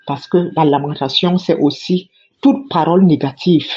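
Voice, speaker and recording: A woman tells her religious testimony with fervent conviction, circling back and repeating phrases to press them home. Her voice is husky, and the recording sounds dull and lo-fi. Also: low voice, fairly even intonation, normal speed, warm tone. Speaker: church member giving testimony.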